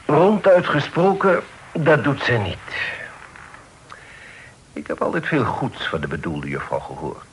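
A stern, expressive patriarchal father. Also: mid-range voice. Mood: grave, reproving. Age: middle-aged. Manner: formal, measured, admonishing. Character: stern but fair, measured patriarchal father of a grown son. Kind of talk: period radio drama acting. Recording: noisy old radio play recording.

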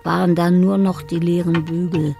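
Low, creaky, dreamy storyteller. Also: female voice, level tone, mellow, faint music behind. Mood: wistful. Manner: slow, wistful, deliberate. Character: dreamy, wounded older woman telling her life. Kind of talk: radio drama monologue, poetic.

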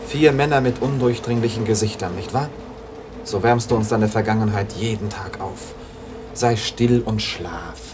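A man's voice: lowish voice, natural voice. Brusque, impatient grandson played by a man, noisy recording. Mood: scornful. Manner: brusque, hurried. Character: impatient, scornful grandson bored by old tales. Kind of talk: radio drama acting.

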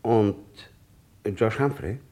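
A man's voice: fairly low pitch, drawn-out words, warm tone. An actor playing an older businessman father back as a ghost, clipped and matter-of-fact, in a vintage radio crime play. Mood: terse, weary. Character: dead businessman father returned as a terse ghost. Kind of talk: vintage German radio crime drama acting.

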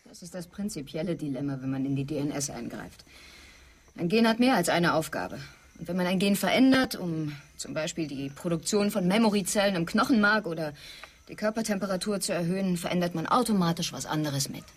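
Sober geneticist in radio play, lowish raspy voice, precise n detached. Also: female voice, expressive, a little fast. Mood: grave, explanatory. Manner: precise, explanatory. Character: sober female geneticist explaining with grave detachment. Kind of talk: German radio drama acting.

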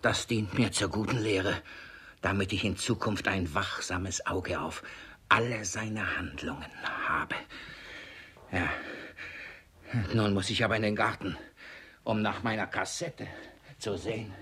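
An actor playing a suspicious, irascible, vain old miser of sixty in a radio play, stammering and repeating himself. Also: male voice, on the low side, fairly even intonation, a little slow, pauses often, bright, forceful tone, a little hiss or hum. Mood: grumbling. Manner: stammering, repeating words, easily outraged. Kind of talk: German radio play stage acting.

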